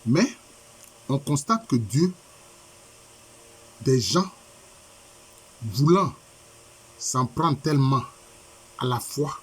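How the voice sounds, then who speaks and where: Husky-voiced male preacher with a cold. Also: medium pitch, animated, very slow, long pauses. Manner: repetitive, emphatic, rhetorical questions. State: has a head cold. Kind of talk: sermon by video conference.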